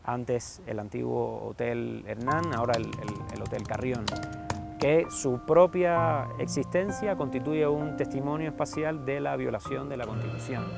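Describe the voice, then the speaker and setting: A man's voice: mid-range voice, a little slow, vocal fry, over music. A man speaking formally and analytically in a documentary interview, criticizing detention conditions. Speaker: commentator or expert interviewee.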